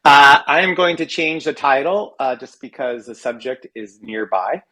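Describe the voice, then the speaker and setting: An American man giving an expressive reading of a humorous personal essay, with wry, dramatic emphasis. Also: mid-range voice, normal speed, poor audio. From California, United States. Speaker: writer reading own work at a literary reading.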